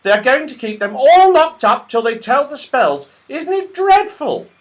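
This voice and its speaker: Man voicing a tiny, high-pitched anxious squirrel. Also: sing-song, moderate pace. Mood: agitated, worried. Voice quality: tiny, thin voice. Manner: agitated, urgent explaining. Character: tiny little red squirrel, anxious messenger. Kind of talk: children's storybook reading.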